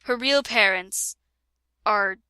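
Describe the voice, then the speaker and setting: A woman's voice: mid-range voice, slow, bright, forceful tone, clear, resonant tone, no echo, studio quality. A woman reading a parody fan fiction aloud with a friend, giggling and breaking into laughter and sarcastic asides. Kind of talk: comedic dramatic reading with a friend.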